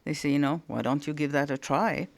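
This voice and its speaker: Guest: woman in her 60s, mixed French and Indian English accent, creaky voice, unhurried storyteller. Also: sits low, fairly even intonation. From French-speaking Switzerland. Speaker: guest, naturopathic doctor. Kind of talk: interview conversation.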